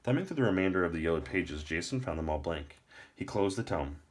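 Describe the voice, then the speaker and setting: A man with a low-pitched voice reads a novel aloud as its narrator. The delivery is storybook narration, told in a deep register. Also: expressive, quick pace.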